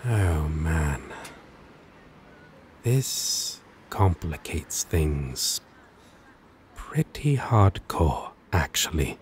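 Slow, low-voiced flirty demon played by a man. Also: big pitch swings, slight background noise, full character voice. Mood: flustered, incredulous. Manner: teasing, halting, dramatic delivery. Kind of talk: ASMR romance roleplay acting.

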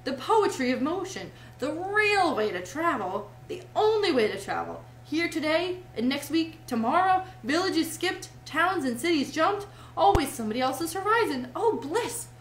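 A high, lilting, blissfully dazed storybook toad, acted out by a woman reader. He gushes and murmurs as if entranced by his new craze. The room rings slightly around him. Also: sing-song, moderate pace, echoey room, a little hiss or hum, low-quality recording. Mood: rapturous. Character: dreamy, rapturous male toad obsessed with motor cars.

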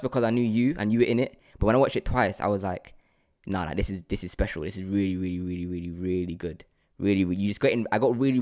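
A man's voice: on the low side, a little mellow. A young man with a London accent hosting a casual interview, effusive and repeating words for emphasis. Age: young adult. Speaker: podcast host, actor. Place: indoor room at home, video call. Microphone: large desk microphone up close.

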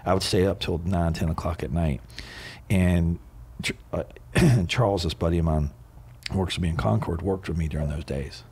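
A middle-aged man hosting a podcast in a studio, talking into a boom mic as a blunt, animated storyteller in casual chat. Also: normal speed, slightly rough. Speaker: podcast host. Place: podcast studio with wooden bar shelves. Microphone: boom mic and headphones.

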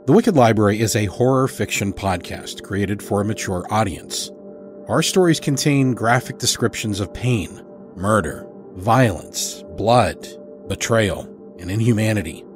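A man hosting and narrating a horror fiction podcast, reading from a script in a measured, deliberate way. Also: on the low side, over music. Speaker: podcast host and story narrator.

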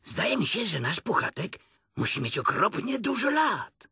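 A man's voice: high voice, wildly swooping pitch, measured pace, pushed and bright, husky, dry recording, clean studio sound, voice pushed up, exaggerated voice. A man voicing a bossy, cautious rabbit in a children's story, fussy and given to muttering. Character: bossy, grumbling, cautious male garden rabbit.

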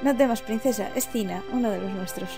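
A woman's voice: mid-range voice, brisk, creaky, over music, natural voice. A woman from voicing a cocky, gallant young male thief from a video game in a breezy, reassuring manner. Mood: assured.

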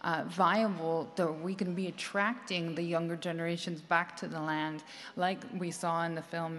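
A woman in her forties with an American accent, speaking earnestly on a conference panel into a handheld mic, with many fillers and restarts. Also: lowish voice. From United States. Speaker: panel speaker, farmer trainer. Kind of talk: conversational panel talk. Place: large conference stage with audience. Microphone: handheld microphone. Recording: long hall reverb.

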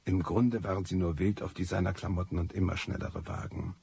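Husky, cynical male recluse. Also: sits low, flat delivery, fairly quick, warm tone, heavily acted. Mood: wry, bitter. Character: disillusioned, cynical male recluse telling his life story. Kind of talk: radio drama first-person monologue.

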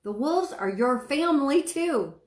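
A middle-aged woman with a soft speaking voice, preaching casually to a phone camera and checking that listeners follow her. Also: high-pitched, lively intonation, a little slow, echoey room. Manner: chatty, asks the viewer questions, stretches words for emphasis. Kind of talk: informal sermon to camera. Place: small room indoors, seated.

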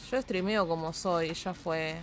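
A woman's voice: lowish voice, a little slow. A woman with an Argentine Spanish accent who streams games, chatting casually in a blunt, slangy, foul-mouthed way. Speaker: game streamer. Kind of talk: casual chat while gaming.

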